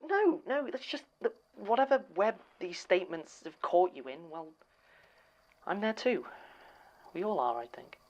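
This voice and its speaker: A man's voice: high voice, sing-song, a little slow, heavily acted. A man playing an earnest, anxious archive assistant in a naturalistic audio drama. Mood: anxious, reassuring. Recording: muffled, noisy lo-fi tape playback.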